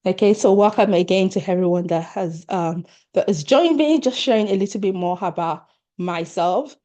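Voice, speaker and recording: A woman's voice: medium pitch, moderate pace, dark tone. A middle-aged woman with a Nigerian accent, a leadership coach giving an online workshop over a video call, earnest and encouraging as she speaks straight to attendees.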